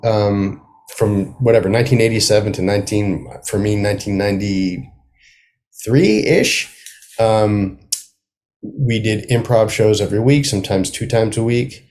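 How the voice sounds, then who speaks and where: A middle-aged man with a fairly low voice talks casually as an interview guest. He is halting and searches for the right word, with a self-deprecating sense of humor. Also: warm tone. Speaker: guest, playwright.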